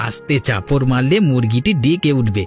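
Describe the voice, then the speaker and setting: Hapless cartoon rogue played by a man, medium pitch, wheedling and exasperated. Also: expressive, moderate pace, darkish tone, faint music behind, natural voice. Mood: smooth, deceitful. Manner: wheedling, grumbling, repeats words. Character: sly, hapless married village chicken thief, wheedling and exasperated. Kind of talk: animated folk-tale cartoon acting.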